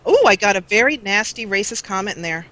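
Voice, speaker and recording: A woman's voice: medium pitch, lively intonation, quick pace, pushed and bright, low-quality recording. A woman chatting casually as a guest on a livestream. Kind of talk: casual chat.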